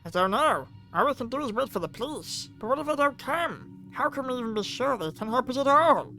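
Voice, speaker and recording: A man voicing an anxious, devoted teenage girl, earnest and halting, in a visual novel read-aloud. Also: extremely high pitch, animated, bright, forceful tone, faint music behind, pitched above the performer's own voice, full character voice. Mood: worried.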